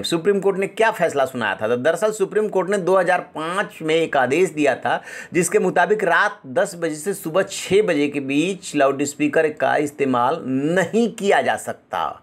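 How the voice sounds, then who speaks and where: A man presenting news as an anchor, explaining points in an emphatic way. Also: fairly high pitch.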